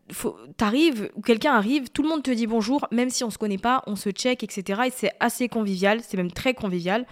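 A woman in her thirties talks to her listeners in a relaxed, confiding podcast chat. She drifts from point to point in a chatty way, at a medium pitch. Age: 30s. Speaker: podcast host. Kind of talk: casual solo podcast talk.

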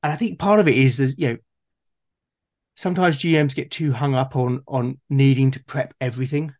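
A man with a medium-pitched voice speaks off the cuff in a conversation. He is hesitant, often repeating words while he works toward his point. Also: moderate pace, darkish tone. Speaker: game master in discussion. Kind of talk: unscripted gaming discussion.

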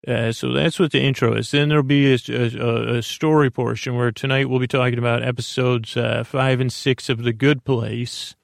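A man with a creaky voice giving a lulling, meandering, halting bedtime podcast monologue as host, in a self-deprecating way. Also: medium pitch, flat delivery, no echo, studio quality. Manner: lulling, soothing.

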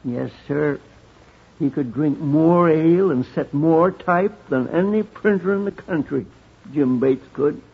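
An actor playing a drowsy old printer who rambles in a folksy, repetitive way with a husky, creaky voice in a radio drama. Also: male voice, on the high side, unhurried, full character voice. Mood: drowsy, rambling. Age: older. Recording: dull old radio recording.

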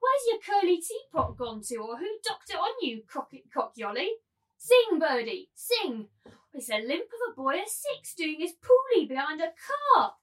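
Woman acting a high-voiced, domineering, mocking brothel keeper. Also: big pitch swings, moderate pace, a bit bright, rough recording. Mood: scornful, ruthless. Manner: commanding, taunting, theatrical. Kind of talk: dramatised literary reading.

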